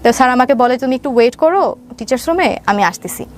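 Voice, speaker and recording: A young woman with a Bangladeshi accent and a fairly high voice. She recounts her own life as an interview guest, hesitating and circling back on filler phrases. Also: expressive, fast talker. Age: young adult. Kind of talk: personal storytelling in an interview.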